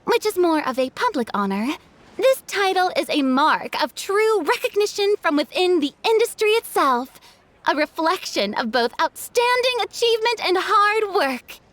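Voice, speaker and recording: Game role: gracious young diva, very high and lively, dramatic, eloquent delivery. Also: female voice, normal speed, heavily acted. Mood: sincere praise. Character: theatrical, gracious young female celebrity star. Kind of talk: video game voice acting.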